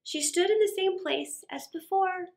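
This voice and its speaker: A middle-aged woman reading a story aloud for children, expressive, with theatrical pauses and emphasis. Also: very high voice, creaky. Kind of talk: dramatic read-aloud story narration for children. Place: small indoor set.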